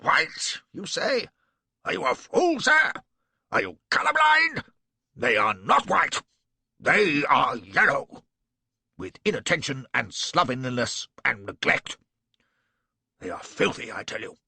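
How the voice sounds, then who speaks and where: A raging, sneering aristocratic lord. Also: male voice, fairly high pitch, very animated, slow, vocal fry, pauses often, pushed and bright, breathy edge, dry recording, voice pushed up, exaggerated voice. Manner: sneering, bullying, repeats "sir" with scorn. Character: pompous raging aristocratic cavalry lord, middle-aged man. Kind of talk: audiobook character voice.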